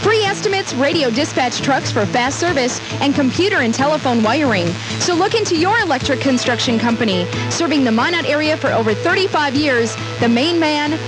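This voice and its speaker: A woman's voice: high-pitched, poor audio. A woman reading a scripted local radio commercial as its announcer.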